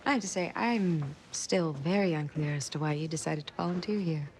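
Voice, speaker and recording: A man's voice: fairly high pitch, brisk, faint music behind, close to an everyday voice. An actor playing a dry, sardonic, brooding man in TV drama dialogue and inner monologue, heard through playback. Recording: TV playback with crowd noise.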